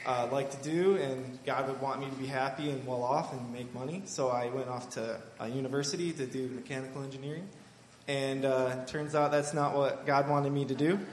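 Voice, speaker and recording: A young man in his twenties, from Michigan, tells his personal testimony to a gathering. He speaks earnestly and haltingly, often pausing on fillers. His voice sits at an ordinary middle pitch. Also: a little hiss or hum. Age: 20s. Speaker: Bible school student.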